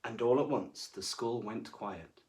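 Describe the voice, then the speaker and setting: A man reading to children as a storyteller, with expressive, deliberate and dramatic phrasing. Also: medium pitch. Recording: voice far from the mic.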